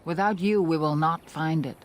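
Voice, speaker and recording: A woman playing a grave, commanding older elf healer who leads her clan in a fantasy game, speaking formally and with measured solemnity. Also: sits low, slight background noise, natural voice. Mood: worried, urgent. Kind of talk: fantasy game dialogue acting. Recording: music behind the dialogue. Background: background music.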